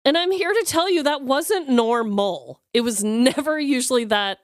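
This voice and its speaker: A woman's voice: high voice, expressive, normal speed, dry recording, studio quality. A woman co-hosting a podcast, telling stories in casual, candid chat and swearing freely. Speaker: podcast co-host.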